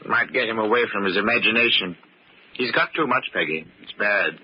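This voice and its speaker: A man's voice: on the low side, brisk, lightly acted. An actor playing a paternal family doctor in an old-time radio drama, avuncular and emphatic in his cautions. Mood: concerned. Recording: muffled, faintly noisy old radio recording.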